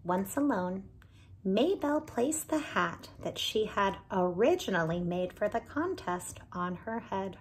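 A woman's voice: mid-range voice, very animated, a little slow, slight background noise. A woman in her thirties, a teacher reading a picture book aloud to children, adding friendly asides and explaining words, recorded close on a phone camera in a small room at home. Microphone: phone or laptop camera close.

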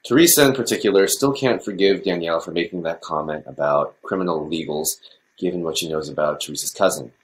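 A man in his twenties playing a young school counselor in a drama. He is earnest and concerned, explaining things in a very low voice. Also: quick pace, slight background noise, close to an everyday voice, low-quality recording.

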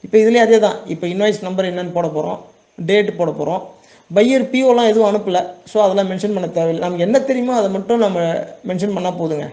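A man's voice: sits high, fast, mellow. A man with a Tamil Nadu accent giving an informal tutorial in a reassuring, plain-spoken way, often checking in with his listeners. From Tamil Nadu, India. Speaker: export business owner giving a tutorial.

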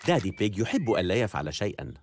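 Low-voiced amused woman narrator. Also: big pitch swings, very fast, a little mellow, a little hiss or hum. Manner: amused, storytelling. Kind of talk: scripted cartoon narration.

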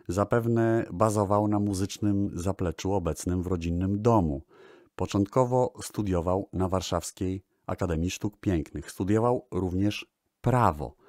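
Male radio-style music presenter, very deep voice, formal measured narration, close dry sound. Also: darkish tone, no echo. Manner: formal, measured, deliberate phrasing. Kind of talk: scripted narration in the manner of a radio music programme.

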